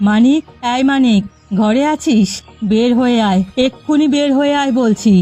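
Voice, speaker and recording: A woman voicing a scolding, indignant old village matriarch in an animated folk tale. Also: fairly high pitch, moderate pace, soft background music, close to an everyday voice. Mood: angry, commanding. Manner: scolding, accusatory.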